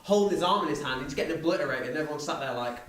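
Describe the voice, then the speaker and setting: A man's voice: a little high, lively intonation, very fast, fairly bright tone. A man speaking as a podcast host in a casual film discussion. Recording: roomy echo, distant from mic.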